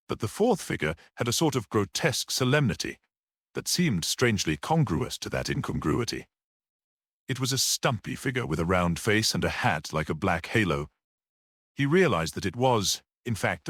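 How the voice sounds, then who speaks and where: A man reading a gothic mystery story as an audiobook narrator, in a measured, expressive literary manner. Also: low voice, dry recording, studio quality.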